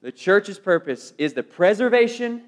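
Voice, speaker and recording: American male preacher, middle-aged, high voice, emphatic sermon delivery, repeated phrases, wide swings in volume. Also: sing-song, normal speed. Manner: swings from loud proclamation to hushed phrases.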